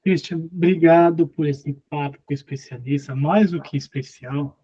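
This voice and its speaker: A man's voice: fairly high pitch, normal speed, mellow, breathy edge. A man hosting an online interview, closing it in an upbeat, motivational way. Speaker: host. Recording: muffled lo-fi sound.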